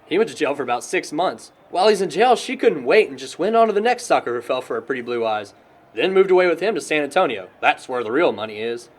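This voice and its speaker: A man's voice: fairly high pitch, very animated, fast. A young American man playing a wry, chatty, gossipy friend with a sarcastic streak in an audio drama. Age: young adult. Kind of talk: conversational audio drama acting.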